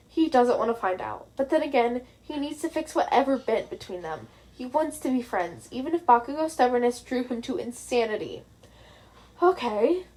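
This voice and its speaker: High-voiced woman reading a story. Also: darkish tone. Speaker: amateur fan fiction audiobook reader. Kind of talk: scripted story narration with casual asides.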